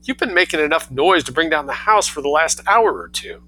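A man's voice: on the high side, expressive, brisk, bright, forceful tone, faint music behind, pitched above the performer's own voice. A man reading a story aloud, voicing a cool, sarcastic young man who snaps back dryly. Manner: snappish, dry.

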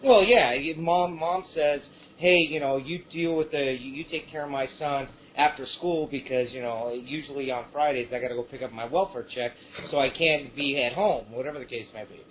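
A man's voice: mid-range voice, moderate pace. A man from Croatia explaining things in casual, rambling live talk. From Croatia, former Yugoslavia. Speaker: talk show participant. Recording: dull lo-fi audio with clear noise.

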